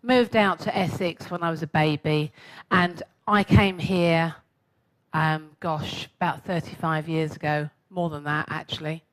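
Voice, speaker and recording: A woman's voice: sits low, steady tone, measured pace, rough recording. Chatty woman in her sixties with a London accent. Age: 60s. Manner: chatty, self-mocking, asks the audience questions. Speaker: guest speaker. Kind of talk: chatty personal talk to a live audience.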